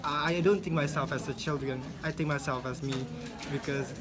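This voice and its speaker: A man's voice: on the high side, fairly even intonation, a little fast. A man in his twenties whose first language is Indonesian, answering interview questions in a hesitant way, often restarting his sentences. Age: 20s. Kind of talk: casual reflective answer. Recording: loud crowd noise behind. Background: crowd chatter.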